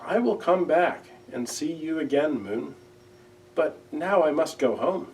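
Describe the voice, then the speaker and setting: A man voicing a sorrowful, gentle, simple-hearted owl from a children's storybook, with earnest, drawn-out emotive delivery. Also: fairly high pitch, animated, recorded from a distance, low-quality recording. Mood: earnest, kindly.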